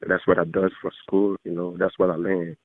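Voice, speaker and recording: A man's voice: low-pitched, flat delivery, a little fast, slight background noise. A man talking earnestly on the telephone in a rambling, repetitive way with nonstandard grammar, over a tinny narrow line. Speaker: call recipient, student. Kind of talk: earnest phone conversation.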